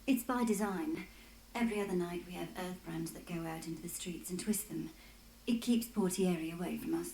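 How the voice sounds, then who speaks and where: Mellow, resolute woman guide in a roomy space. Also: on the low side, a little fast, vocal fry. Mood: grave, explanatory. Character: wry, resolute woman guide of a shadowy fantasy city. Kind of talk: video game voice acting. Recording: roomy with faint noise.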